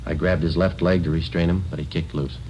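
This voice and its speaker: An actor playing a stoic, no-nonsense police sergeant in a deadpan, formal manner in a 1950s radio drama. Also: male voice, low voice, fairly quick, lightly acted. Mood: flat, formal. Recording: muffled, noisy old radio recording.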